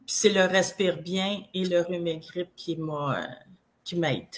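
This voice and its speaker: A woman with a Québécois accent and a head cold, chatting casually as a guest and telling her own health story in a rambling, halting way. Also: on the low side. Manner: rambling, halting, full of restarts. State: has a cold, congested. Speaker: guest sharing product testimonial. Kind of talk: casual live chat.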